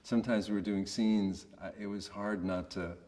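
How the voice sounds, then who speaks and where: A man giving a casual, reflective interview in a monotonous voice, hesitant and understated as he searches for words. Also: fairly low pitch, moderate pace, long reverb tail. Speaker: voice actor being interviewed.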